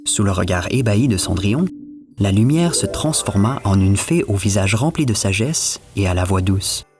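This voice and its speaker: Guy narrating a fairy tale, lowish voice, bit of music behind. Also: animated, normal speed. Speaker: storyteller, narrator.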